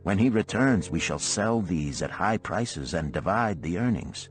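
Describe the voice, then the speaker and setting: A man's voice: deep voice, big pitch swings, a little slow, faint music behind. A man voicing an earnest young scribe, proud and questioning, in an audiobook parable. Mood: proud, eager. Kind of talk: audiobook parable character dialogue.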